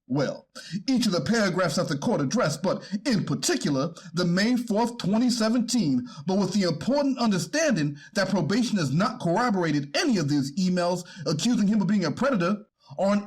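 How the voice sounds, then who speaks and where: A man playing a careful, deferential defense lawyer in a readers theater of a court transcript, speaking formally with a husky voice. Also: high-pitched, sing-song, a little fast, exaggerated voice. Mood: careful, explanatory.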